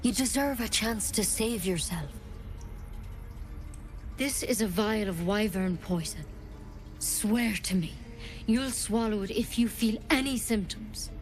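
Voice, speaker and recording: A woman's voice: medium pitch, slow pace, long pauses, close to an everyday voice. An actress playing an earnest, protective druid healer in a video game, plain-spoken and grave, with music behind her. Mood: grave, frank. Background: background music.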